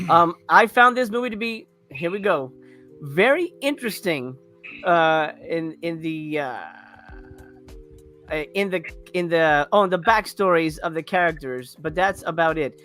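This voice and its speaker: Bright, high-pitched man hosting a panel. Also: expressive, faint music behind. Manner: halting, rambling. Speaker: host of a movie review panel. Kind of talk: casual panel chat.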